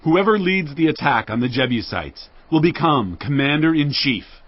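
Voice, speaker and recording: A man's voice: a little high, lively intonation, normal speed, muffled recording. An actor playing a bold, commanding warrior king of ancient Israel in an emphatic, declamatory dramatized Bible style.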